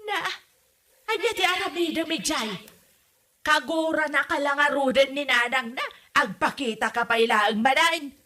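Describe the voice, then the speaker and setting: A woman's voice: high-pitched, normal speed, sharp tone. A woman with an Ilocano accent playing a stubborn, meddling, disapproving mother in a comedy drama, scolding and exclaiming as she talks. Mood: stubborn, complaining. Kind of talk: comedy radio-style drama acting.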